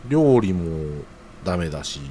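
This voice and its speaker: Young man, played by an actor for audio drama: earnest, slangy, deferential, very lively intonation, slight background noise. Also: sits low, measured pace. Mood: earnest, worried. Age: young adult. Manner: casual, deferential junior's slangy speech. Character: earnest, hesitant young man unsure about marriage.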